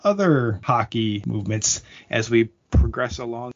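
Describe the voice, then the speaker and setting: A man hosting a hockey podcast, his voice sitting at an ordinary middle pitch. He talks in an upbeat, welcoming way. The lo-fi podcast audio sounds a little dull. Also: expressive, a little slow, noisy background. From Canada. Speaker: podcast host. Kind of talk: casual hockey podcast chat.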